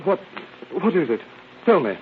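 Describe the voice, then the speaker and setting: A man's voice: on the high side, big pitch swings, normal speed. An actor in a 1930s radio drama playing an agitated, well-to-do drug addict who stammers through his protests. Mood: anxious, reluctant. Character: indignant then pleading drug-addicted well-known actor, prominent woman's son. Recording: muffled, noisy old radio broadcast.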